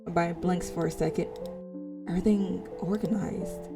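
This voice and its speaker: A woman reading a visual novel aloud as its player, dramatic but halting over words, with casual asides. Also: lowish voice, fairly even intonation. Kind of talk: reading game text aloud with casual reactions. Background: music.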